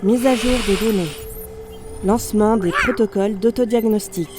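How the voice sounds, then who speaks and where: A woman playing a damaged robot unit in audio fiction, speaking formally and precisely, polite, analytical and gently naive. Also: medium pitch, normal speed, music in the background, natural voice. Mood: clinical, methodical. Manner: formal, clipped system announcements and precise explanations. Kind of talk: scripted audio fiction monologue.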